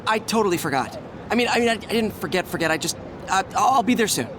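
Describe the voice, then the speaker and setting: An actor voicing an earnest young adult male hero who talks quickly and gets flustered. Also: high-pitched, expressive, noisy background. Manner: quick, flustered, self-talking.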